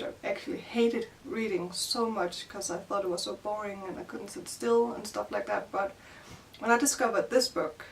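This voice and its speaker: A woman's voice: mid-range voice, gravelly, distant mic, low-quality recording. Young woman, Danish accent, shy and hesitant book vlogger, mic set a little back, faint hiss. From Denmark. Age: young adult. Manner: shy, hesitant, many fillers like "like" and "you know". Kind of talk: casual vlog answering tag questions.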